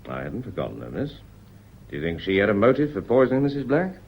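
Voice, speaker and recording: Casting: probing, courteous British police inspector for radio drama, widely swinging intonation, deliberate phrasing, on a muffled recording. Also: male voice, fairly low pitch, very animated, vocal fry, exaggerated voice. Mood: measured, probing. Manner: deliberate, formal, politely insistent questioning. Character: probing, measured, courteous male Scotland Yard police inspector. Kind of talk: British radio mystery play acting.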